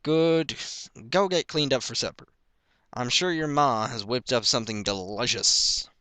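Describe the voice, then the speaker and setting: A man's voice: mid-range voice, measured pace, sharp tone, clear, resonant tone, no room sound. A man voicing a good-humored, indulgent country father pony in a folksy, fatherly manner. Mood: mildly scolding.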